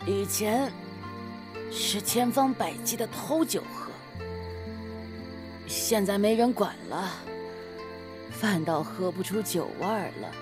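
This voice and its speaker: Woman acting a sulky, slow-talking young gentleman. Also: mid-range voice, expressive, over music. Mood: gloomy, grumbling. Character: young gentleman official, moody, sulky, neglected husband. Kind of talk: Chinese period radio drama acting.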